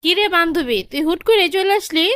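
Very high female voice, Bangladeshi accent, gossipy jilted young cartoon ex, mock-sweet sarcasm acted by a woman. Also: expressive, fairly quick, a bit bright, dry recording, clean studio sound. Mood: curious, coy. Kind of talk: comic cartoon dubbing.

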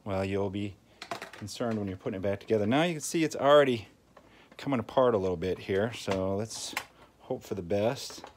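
A man's voice: lowish voice, moderate pace. A man giving a casual hands-on repair walkthrough, thinking aloud in a folksy way as he works. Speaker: host of a tinkering channel. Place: indoors at a work table. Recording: clear background noise, clicks and handling sounds.

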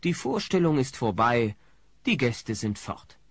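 Slow, husky male storyteller. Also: medium pitch, sing-song, faint music behind. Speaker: narrator. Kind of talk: scripted storytelling narration for audio drama.

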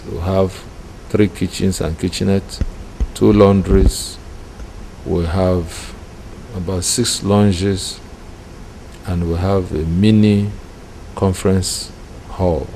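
A middle-aged man with a Nigerian accent speaks in a low, dark, gravelly voice. He gives his testimony deliberately, in short phrases, like a church leader being interviewed. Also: low-quality recording. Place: small indoor room with curtains. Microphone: handheld interview microphone. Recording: clear background noise.

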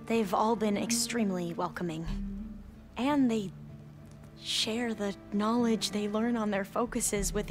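An actress playing an excitable young woman scholar in a video game, earnest and gushing, rambling as she goes. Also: mid-range voice, lightly acted. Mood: friendly, slightly awkward. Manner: gushing, halting and self-correcting when flustered. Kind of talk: video game dialogue acting. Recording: loud music behind the voice. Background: music.

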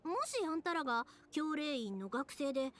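A woman's voice: sits high, expressive, normal speed, fairly bright tone. A woman playing a cheerful, considerate, chatty young woman with a Kansai accent in an anime fantasy game. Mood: friendly, explaining. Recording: music behind the voice.

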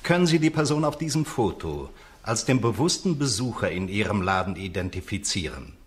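An actor playing a formal, stern judge questioning a witness in a German radio crime drama. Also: male voice, on the low side, lively intonation, fairly quick, natural voice. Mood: formal, probing.

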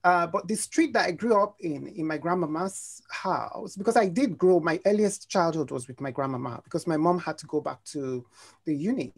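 Lower-voiced Nigerian woman, reflective. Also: breathy edge. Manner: reflective, halting with fillers and restarts. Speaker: interview guest. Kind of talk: personal storytelling in an interview. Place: home room, indoors. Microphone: video call.

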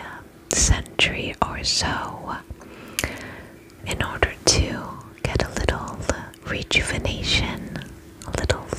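A young woman playing a gentle vampire spa attendant in a soothing, breathy ASMR whisper, slow and polite, close to the microphone. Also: close to an everyday voice, rough recording. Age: young adult.

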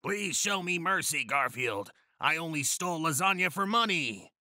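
A man acts out a villainous mercenary boss from a comic space story. The voice is very sharp and forceful, raised in pitch and bone dry, with a cowardly streak. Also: animated, measured pace, dry recording, heavily acted. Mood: pleading. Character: villainous male mercenary leader, gloating yet cowardly.

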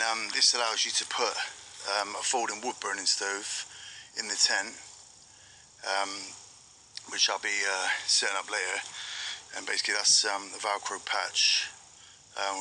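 A young British man vlogging outdoors in a forest on a handheld camera, talking in a relaxed, rambling way with many pauses and fillers. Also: lowish voice, a little hiss or hum, rough recording. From Britain. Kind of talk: casual outdoor vlog narration. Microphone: handheld action camera.

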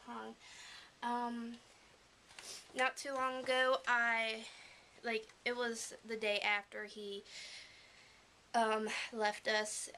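A young woman with a regional American accent, gushing softly and emotionally as she unboxes a gift in a small room at home, filmed on a webcam. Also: fairly high pitch, creaky, a little hiss or hum. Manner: gushing, emotional, soft-spoken and rambling. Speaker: vlogger. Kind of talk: casual unboxing vlog.

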